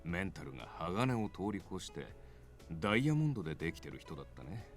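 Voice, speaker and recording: An actor playing a mild, reasonable gentleman with soft wording in a visual novel. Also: sits low, big pitch swings, very fast, soft background music. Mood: thoughtful. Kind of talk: Japanese visual novel voice acting.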